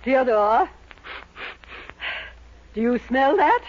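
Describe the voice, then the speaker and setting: A stern, indignant neighbor. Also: female voice, high voice, big pitch swings, extremely slow, a little mellow, faint music behind, exaggerated voice. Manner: huffy, demanding, clipped. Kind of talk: 1940s radio sitcom acting. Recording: dull 1940s radio broadcast.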